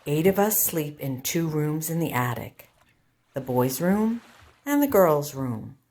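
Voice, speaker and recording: A woman reading a children's picture book aloud as a storytime teacher, with deliberate, expressive phrasing for young listeners. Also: sits low.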